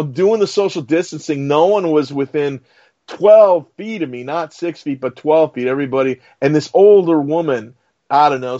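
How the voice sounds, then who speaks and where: A man telling a long story in casual conversation, acting out the exchanges as he goes. The audio is a little muffled and lo-fi. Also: medium pitch. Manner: storytelling, animated retelling. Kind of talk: casual chat.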